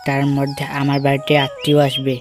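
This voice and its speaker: A woman's voice: extremely low pitch, monotone, quick pace, music in the background. A woman voicing a selfish, unwelcoming neighbour bird in a cartoon, dismissive in manner. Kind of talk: cartoon character dialogue.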